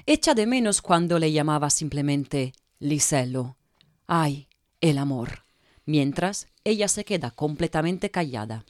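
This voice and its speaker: A woman's voice: low voice, expressive, measured pace, dry recording, studio quality. A woman from narrating a scripted audio drama in a wry, knowing way.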